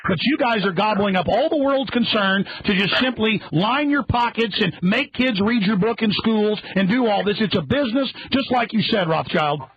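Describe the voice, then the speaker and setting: A man with a raspy voice, a combative radio host confronting his guest with accusations. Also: high-pitched, lively intonation, normal speed, hardly any pauses. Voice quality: husky, raspy. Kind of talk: confrontational interview. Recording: dry, dull radio recording.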